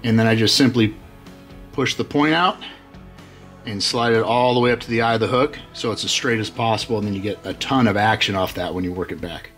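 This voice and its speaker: A man's voice: fairly low pitch, fairly quick, soft background music. A middle-aged man hosting a fishing channel, casually walking through how he rigs baits, with lots of fillers and restarts. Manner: relaxed, many fillers and restarts. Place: workshop with tackle-covered walls.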